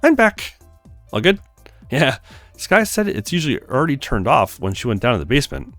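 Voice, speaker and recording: A man's voice: medium pitch, very animated, moderate pace, fairly bright tone, dry recording, faint music behind. Man putting on an eager, chatty young woman. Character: smitten, modest, chatty young woman of twenty-three.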